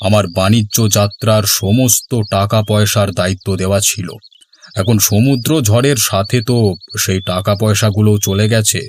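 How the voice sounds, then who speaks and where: A man voicing a stern, greedy village headman in a cartoon, with a measured, authoritative and cold manner. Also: on the low side, slight background noise, natural voice. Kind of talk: Bengali cartoon voice acting. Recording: background music under the voice.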